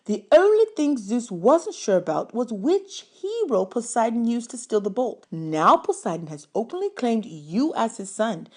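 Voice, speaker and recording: A woman's voice: a little high, very animated, measured pace, hardly any pauses. A woman voicing a stern, learned male mentor in a storybook, formal, pedantic and emphatic. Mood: grave explanation.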